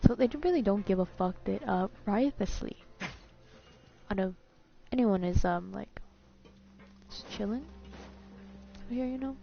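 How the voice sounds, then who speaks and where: A woman's voice: medium pitch, measured pace, gravelly, long pauses. A woman streaming a game, giving rambling, informal live commentary as she plays. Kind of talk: casual live playthrough commentary. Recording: slightly dull audio, faint music. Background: faint game music.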